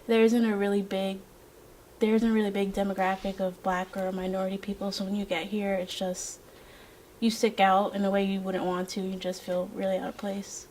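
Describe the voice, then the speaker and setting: A young woman answers candidly as a student interviewee. Her voice is even in pitch, with faint ambient noise behind it. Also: brisk, low-quality recording. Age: young adult.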